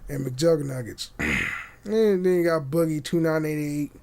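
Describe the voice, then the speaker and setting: Higher-pitched man rambling casually. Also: big pitch swings, normal speed, vocal fry, a little mellow. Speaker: podcast host. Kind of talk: casual rambling chat with swearing. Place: small home room indoors. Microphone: condenser mic on boom arm.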